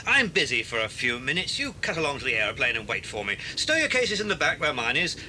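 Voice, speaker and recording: A man's voice: huge pitch swings, quick pace, sharp tone, heavily acted. An actor playing a busy, friendly male pilot giving hearty instructions in a children's audio drama. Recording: noisy cassette, engine rumble.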